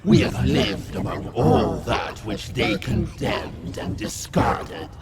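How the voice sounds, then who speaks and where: A man voicing a monstrous rat king in a fantasy audio roleplay, speaking grandly and contemptuously in a breathy rasp. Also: on the low side, animated, slow pace, very noisy. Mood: contemptuous, grandiose. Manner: grandiose, menacing, archaic speech.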